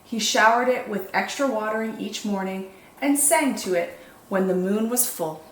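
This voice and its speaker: In an echoey room with faint noise behind her, a woman reads a picture book aloud for young children. Her pitch sits in the middle for a woman. She tells the story expressively and deliberately, stretching out words for dramatic emphasis. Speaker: children's storytime host reading picture books.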